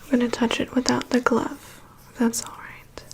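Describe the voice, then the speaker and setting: Actress for female vampire's assistant, ASMR roleplay. Breathy whisper with some crackle, unhurried, prying yet calm and deadpan. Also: fairly high pitch. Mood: attentive, clinical. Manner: slow, unhurried, murmured reassurances and dry asides. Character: vampire's human assistant, woman, calm, prying, dryly nonchalant. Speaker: ASMR performer. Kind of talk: ASMR roleplay, personal attention.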